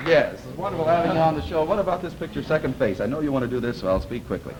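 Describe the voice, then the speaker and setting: A man hosting a panel game show, chatting with the players in a lively way. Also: a little high, very fast. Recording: 1950 TV studio, audience noise behind. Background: studio audience.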